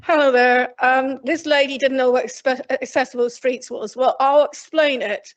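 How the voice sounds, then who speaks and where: A woman from Cornwall speaking loudly and plainly from memory to a council committee, rambling with frequent restarts. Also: high voice, fairly even intonation, normal speed. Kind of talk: unscripted address from memory. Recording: dull lo-fi council meeting recording.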